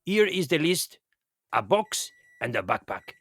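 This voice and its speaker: A man's voice: fairly high pitch, very animated, unhurried, a little hiss or hum. An actor playing a brusque, bossy male head chef giving orders in a children's audio drama. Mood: curt, impatient.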